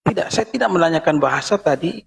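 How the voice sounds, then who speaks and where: Guy, pastor in a debate, croaky husky voice, keeps asking questions. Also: a little high, expressive, fast talker. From Indonesia. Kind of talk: informal religious debate.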